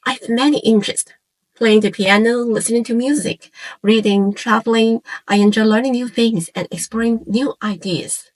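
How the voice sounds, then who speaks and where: A woman in her forties from Hong Kong, a native Cantonese speaker with a Hong Kong accent, narrates a scripted, reflective piece in measured phrases with short pauses. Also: on the high side, low-quality recording. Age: 40s. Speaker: YouTube channel creator and host.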